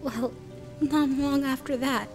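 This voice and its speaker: A woman's voice: sits high, fairly even intonation, slow pace. A woman playing a timid, shaken young witness in an amateur radio play. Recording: music and rain under the voice.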